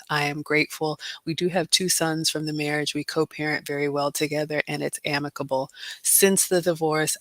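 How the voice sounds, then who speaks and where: A middle-aged woman hosting a group talk, sharing personal reflections in measured, deliberate phrasing. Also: low voice, fairly bright tone, dry recording. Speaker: host.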